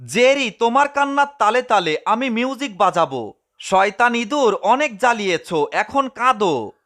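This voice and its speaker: A man's voice: very animated, normal speed, exaggerated voice. A man voicing a greedy, mischievous cartoon cat in a comedy dub, with melodramatic pleading and gloating. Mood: mocking, gleeful. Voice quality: high-pitched, sharp. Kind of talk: fan-dubbed cartoon comedy.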